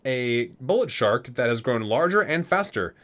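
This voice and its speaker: A man's voice: medium pitch, sing-song, moderate pace, a bit bright. A man hosting a gaming ASMR video, reading entries aloud and dropping into casual, amused asides.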